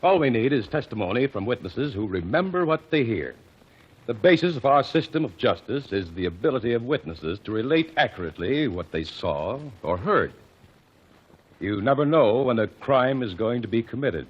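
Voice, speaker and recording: A man playing a calm, impartial courtroom lawyer in a 1940s radio drama, formal and measured. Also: medium pitch, slightly rough. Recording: dull old radio broadcast.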